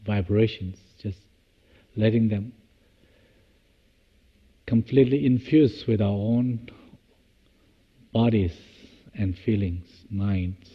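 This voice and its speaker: A man leading a guided meditation, speaking in measured, halting short phrases with long pauses. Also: lowish voice, husky, long reverb tail, narrow, tinny audio. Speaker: meditation teacher.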